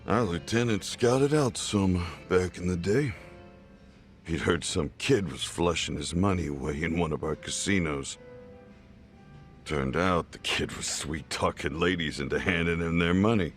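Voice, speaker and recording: A man voicing a boozy, world-weary old former yakuza boss with a gravelly, rambling delivery in an English game dub. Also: deep voice, a little slow. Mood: reminiscing. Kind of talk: video game cutscene dialogue. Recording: music behind the voice.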